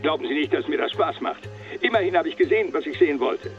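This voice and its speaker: An actor playing a stubborn, articulate and formal French police commandant in a German radio crime drama. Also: male voice, on the high side, animated, fast. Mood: indignant, defensive. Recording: dull, band-limited phone-call sound, faint music.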